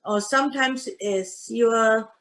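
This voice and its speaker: A woman from Taiwan whose first language is Mandarin, speaking English haltingly with fillers as an interview guest. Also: fairly high pitch. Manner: hesitant, halting, with many fillers and soft laughs. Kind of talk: casual chat.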